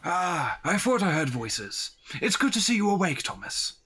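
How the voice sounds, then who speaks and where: An actor playing a courteous, kindly, well-to-do gentleman in an audio drama, polite and a little hesitant. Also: high voice, big pitch swings, moderate pace, slight background noise, heavily acted.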